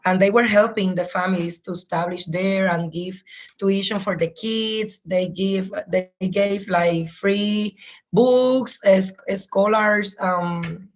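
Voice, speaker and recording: A woman's voice: medium pitch, slow pace, lo-fi sound. A woman from Puerto Rico with a Puerto Rican Spanish accent, a native Spanish speaker, introducing herself as a program coordinator on a video call, searching for words in English.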